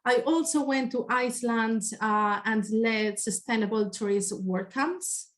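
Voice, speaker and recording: A woman's voice: a little high, unhurried. Spanish woman talking thru her job on a video call, kinda hesitant, lots of ums. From Spain. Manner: hesitant, many fillers and restarts. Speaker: sustainability staff member presenting. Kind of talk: webinar presentation.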